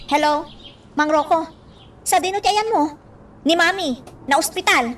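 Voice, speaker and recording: An actress playing an anxious, scolding daughter in an Ilocano radio drama. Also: female voice, very high voice, measured pace, a bit bright, far from the mic, slight background noise. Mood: worried.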